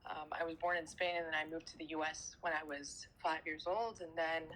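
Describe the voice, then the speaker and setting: A woman's voice: low voice, level tone, brisk, a bit bright, poor audio. A woman guest from France answering interview questions, hesitating often with many fillers and repeated words.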